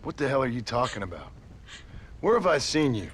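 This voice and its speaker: An actor playing a weary, sardonic middle-aged American cowboy in a video game, plain-spoken and dry. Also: male voice, medium pitch, very animated, a little fast, natural voice. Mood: wry, questioning. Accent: American Western. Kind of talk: video game cutscene acting. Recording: faint background noise and ambience.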